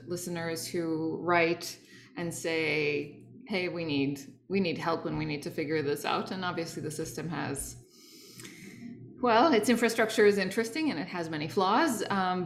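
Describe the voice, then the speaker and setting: A woman's voice: lowish voice, unhurried. Chatty woman hosting a podcast. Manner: laughs often, friendly and chatty. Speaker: podcast host. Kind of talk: casual chat.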